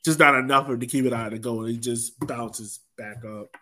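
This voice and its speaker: Male sports podcast co-host, average pitch, informal and rambling conversational delivery. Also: fairly even intonation, fairly quick, sharp tone, a little hiss or hum. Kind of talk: casual sports discussion.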